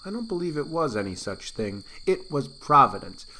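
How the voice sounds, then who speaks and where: Muttering, folksy old farmer. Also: male voice, fairly high pitch, very animated, normal speed, pushed and bright, a little hiss or hum, heavily acted, rough recording. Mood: proud, tender. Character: shy, proud, tender old farmer. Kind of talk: audiobook drama acting.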